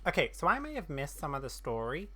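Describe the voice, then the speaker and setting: A woman's voice: low voice, big pitch swings, fast talker, pushed and bright. A woman giving casual gameplay commentary, hesitant and thinking aloud as she goes. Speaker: game streamer.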